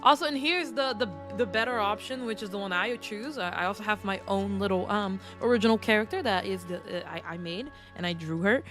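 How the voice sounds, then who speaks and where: Woman streamer, congested throaty voice, mid-range pitch, playful self-mocking chatter over game music. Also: a little fast, hardly any pauses, fairly bright tone. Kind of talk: casual game stream commentary, reading game text aloud.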